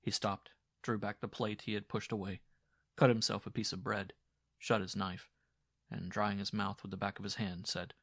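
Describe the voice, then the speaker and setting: Low, gravelly male horror narrator. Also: flat delivery, moderate pace, a bit bright, no room sound, studio quality. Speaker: audiobook narrator. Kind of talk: dramatic scripted horror narration.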